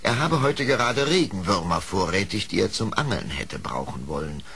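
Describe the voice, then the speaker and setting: A man narrating a scripted radio play story in an expressive storytelling manner. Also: deep voice, normal speed, creaky, slightly rough.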